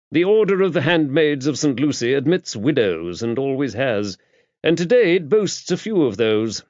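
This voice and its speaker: A man's voice: mid-range voice, darkish tone, no room sound, close to an everyday voice. A man voicing an earnest, talkative English bishop in an audiobook, formal and emphatic. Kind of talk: audiobook character dialogue. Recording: dull, muffled lo-fi audio.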